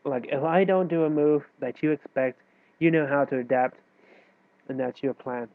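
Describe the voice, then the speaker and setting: A man's voice: fairly high pitch, moderate pace, no echo. Nasal man with a cold, tinny recording. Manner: loose, self-correcting explanation with fillers. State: stuffy with a cold. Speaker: chess teacher. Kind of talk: casual chess tutorial explanation. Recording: tinny, dry phone-like recording.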